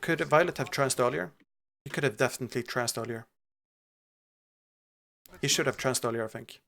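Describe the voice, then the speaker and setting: A man's voice: mid-range voice, gravelly, fairly bright tone. A young Swedish-accented man breaks down a replay at an easy pace, working through his points aloud and stopping for fillers along the way. His voice sits in an ordinary middle register. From Sweden. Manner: thinking aloud, pausing with fillers. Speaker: esports analyst. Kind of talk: casual match review.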